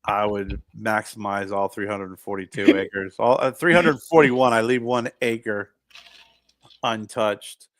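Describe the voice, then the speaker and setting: A man in his fifties talks through his thoughts in a loose, reflective ramble, restarting his sentences and trailing off. His voice sits on the low side. The sound is dry and close, with no room around it. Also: steady tone, unhurried, dry recording. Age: 50s. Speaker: podcast co-host. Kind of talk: casual chat.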